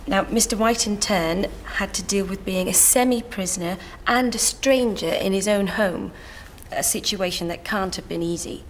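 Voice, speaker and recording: A woman's voice: medium pitch, moderate pace, hardly any pauses, slight background noise, natural voice. A woman in a British TV drama reading a careful, formal report on a family to the court. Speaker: children's guardian giving a report.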